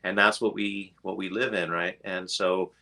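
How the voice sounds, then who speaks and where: Man with a low, bright voice. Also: flat delivery, normal speed. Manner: rambling, many fillers, laughs often. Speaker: meditation teacher. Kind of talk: casual conversational teaching.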